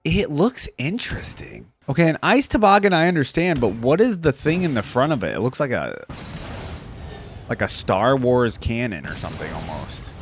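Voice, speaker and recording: A man's voice: medium pitch, sing-song, moderate pace, slight background noise. A man giving casual, off-the-cuff reaction commentary on video game skins as a video host.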